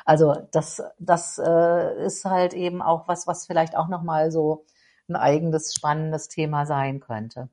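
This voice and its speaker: A woman's voice: deep voice, fairly even intonation, a little slow, dark tone. A middle-aged woman from Berlin answering interview questions in a reflective, hesitant way, with many fillers and restarts. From Berlin, Germany. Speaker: interview guest.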